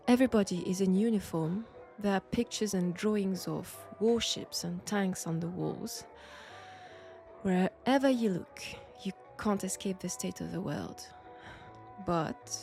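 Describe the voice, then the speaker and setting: A woman performs a flirtatious, mysterious Frenchwoman from a Second World War roleplay. She has a French accent and takes her time, coy and conversational, pausing between thoughts. Soft music plays faintly behind her intimate delivery. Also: medium pitch. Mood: wistful, conversational. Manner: unhurried, coy, conversational with pauses. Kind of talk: intimate roleplay audio drama.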